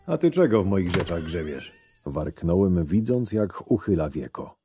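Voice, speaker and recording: Man as a low-voiced, sardonic convict guard. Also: very animated, normal speed, dark tone, faint music behind. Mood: irritated. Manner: sardonic, gruff, swearing. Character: curt, irritable male convict guard narrating his story. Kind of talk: first-person audio drama narration with dialogue.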